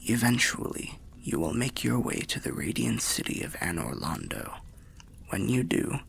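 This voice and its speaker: A man voicing an old undead soul telling fantasy tales in a musing, unhurried ASMR roleplay manner, low, gravelly and half-whispered. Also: a bit bright, full character voice. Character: old undead soul, genial storyteller by a bonfire.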